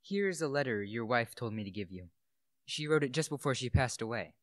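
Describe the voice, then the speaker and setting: A man's voice: mid-range voice, animated, a little fast, fairly bright tone, a little hiss or hum, natural voice. A young man playing a meek, polite manservant with a hesitant manner in a radio play. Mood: meek, earnest. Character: meek, deferential manservant bringing a letter.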